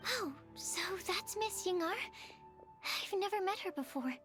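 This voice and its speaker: An actress playing a shy, kind young woman in a video game, with a soft, earnest, gently hesitant delivery. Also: extremely high pitch, lively intonation, unhurried, over music. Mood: gently curious.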